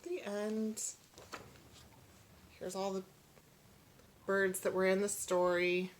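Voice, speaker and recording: A woman reads a children's storybook aloud in the manner of a teacher. Her delivery is expressive and follows the bounce of rhyming verse, with a voice at an ordinary middle pitch. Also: slow pace, a little hiss or hum.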